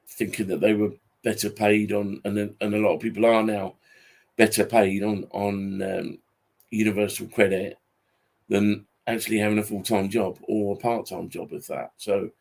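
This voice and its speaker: A British man in his forties talking casually and off the cuff in a halting, repetitive, grumbling way, sounding tired. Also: fairly low pitch, vocal fry, darkish tone. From Britain. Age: 40s. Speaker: YouTube channel host. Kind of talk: casual unscripted monologue.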